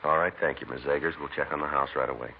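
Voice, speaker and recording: A deadpan police sergeant. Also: male voice, a bass voice, fast talker, creaky. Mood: calm. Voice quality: flat, clipped, deadpan delivery. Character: terse male police detective sergeant narrating. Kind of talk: 1950s radio crime drama. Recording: lo-fi vintage radio broadcast with noise.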